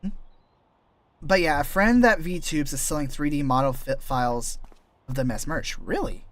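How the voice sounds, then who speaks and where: Slightly raspy man rambling while gaming. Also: a little high, moderate pace, no echo. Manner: chatty, loose, trailing restarts and asides. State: tired voice from lots of voice work. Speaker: VTuber streamer.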